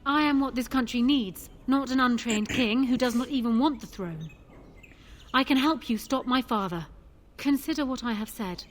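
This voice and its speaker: Proud, high-voiced young queen acted by a woman. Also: lightly acted. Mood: proud, insistent. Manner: formal, measured, commanding. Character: proud, shrewd young widowed queen, regal and resolute. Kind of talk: dramatic fantasy video game acting.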